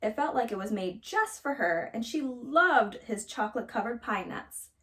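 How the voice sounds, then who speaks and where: A woman storyteller reading a children's fairytale aloud with expressive narration. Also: on the high side, normal speed.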